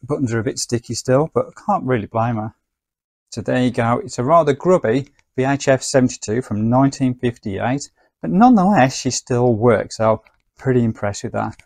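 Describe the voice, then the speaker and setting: A man's voice: mid-range voice, animated, normal speed, a little mellow, dry recording. A man giving a casual, chatty spoken demonstration as a video presenter. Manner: chatty, informal. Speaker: presenter of a vintage radio video.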